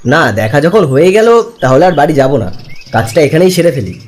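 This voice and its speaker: A man's voice: a little high, expressive, a little fast, slightly rough. A man voicing a friendly, insistent young office colleague, chatty and pressing, in cartoon story dialogue.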